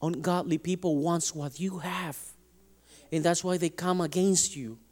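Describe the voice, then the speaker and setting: A male preacher from Latin America delivering an emphatic sermon, often restarting his phrases. His voice sits high yet sounds mellow and warm. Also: steady tone, unhurried. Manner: emphatic, restarts and stumbles over words.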